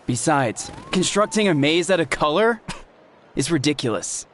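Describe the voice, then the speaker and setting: A man's voice: on the high side, expressive, measured pace, fairly bright tone, a little hiss or hum. A man voicing a skeptical, sarcastic young tribesman in a video game. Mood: scoffing. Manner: scoffing, sarcastic.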